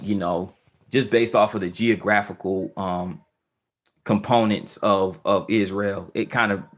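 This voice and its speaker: A man's voice: on the low side, fairly bright tone. A man hosting a casual livestream, thinking aloud with many restarts and fillers as he answers viewer comments. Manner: easygoing, questioning. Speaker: livestream host. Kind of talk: casual live chat, reading viewer comments.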